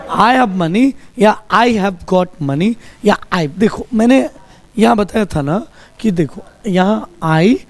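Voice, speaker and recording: A man's voice: high voice, darkish tone. A man teaching spoken English in Hindi to a class, with a Hindi accent, drilling sentences and asking students to repeat them. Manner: asks the class to repeat sentences, slow and drawn-out.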